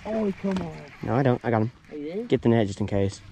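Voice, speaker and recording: A teenage boy chatting excitedly while bass fishing from a boat outdoors, recorded on a head-mounted camera. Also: quick pace, slight background noise. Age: teens. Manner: excited, laughing, short remarks. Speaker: youth angler. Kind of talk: casual chat while fishing. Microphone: head-mounted action camera.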